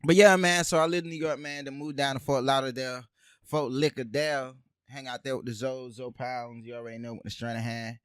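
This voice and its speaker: A young man from Haiti tells stories with lots of energy on a casual podcast, dropping "bruh" and "man" all the time. His voice is close-miked and dry, with a mid-range pitch. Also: brisk, clear, resonant tone, dry recording. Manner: animated storyteller, says "bruh" and "man" a lot. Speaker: podcast co-host. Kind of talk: casual chat.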